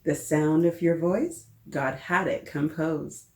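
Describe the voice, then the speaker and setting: A woman hosting a children's story time, reading a picture book aloud in a playful, expressive way and talking directly to her young listeners. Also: low voice, takes it slowly, noisy background. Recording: roomy, echoing sound.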